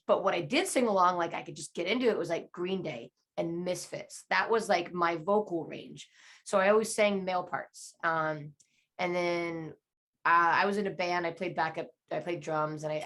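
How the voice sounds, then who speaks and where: Low voiced woman, band guest in an interview, rambling and candid, "like" and "you know" everywhere. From Southern California. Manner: rambling, candid, lots of fillers. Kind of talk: casual interview chat.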